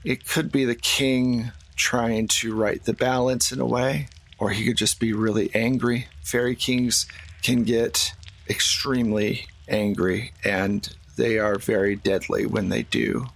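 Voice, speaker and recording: A man playing a slow, grave troll. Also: medium pitch, little pitch movement, creaky, fairly bright tone. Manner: measured, halting, explanatory. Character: worried, apologetic troll giving grave warnings.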